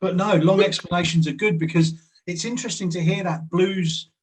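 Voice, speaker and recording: A man's voice: sits high, steady tone, fairly quick, slight background noise, low-quality recording. A man interviewing a musician in a friendly, chatty way over a video call. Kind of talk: casual interview chat.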